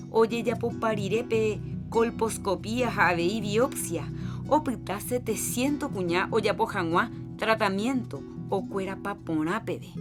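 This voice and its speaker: A woman presenting a scripted public health segment on a radio program. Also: medium pitch. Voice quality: clear, informative reading. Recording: music bed under the voice.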